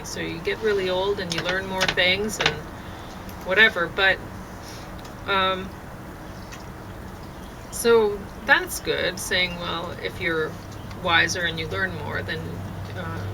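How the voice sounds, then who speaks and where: A woman in casual, rambling conversation, halting often as she thinks aloud, recorded outdoors on a deck. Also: fairly low pitch. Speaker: vlogger sharing opinions on a show. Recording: outdoor deck, clear background noise, birds.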